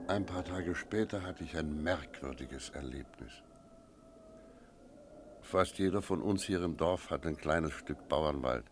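An actor playing a sober village innkeeper who tells an eerie tale in a measured, reflective radio drama style. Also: male voice, a bass voice, noisy background. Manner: measured, reflective, literary storytelling. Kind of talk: radio drama narration and dialogue.

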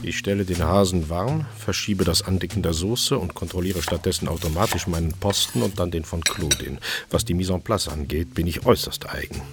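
A man's voice: deep voice, a little fast, noisy background. An actor playing an exacting chef who narrates his own story precisely and matter-of-factly in a radio drama. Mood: focused.

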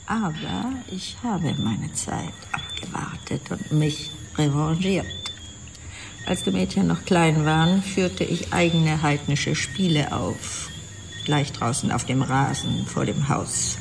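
Bitter genteel older matriarch, very slow and gravelly, icily formal, radio play. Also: female voice, low voice, noisy background, exaggerated voice. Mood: bitter, wounded. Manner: deliberate, formal, icily pointed. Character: bitter, genteel, vengeful older wife and mother. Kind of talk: German radio drama acting.

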